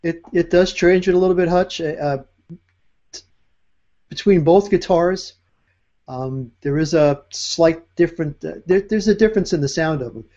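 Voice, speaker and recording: Mellow chatty man, lo-fi sound. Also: fairly high pitch, normal speed. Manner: rambling, chatty, many fillers and restarts. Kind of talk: casual chat.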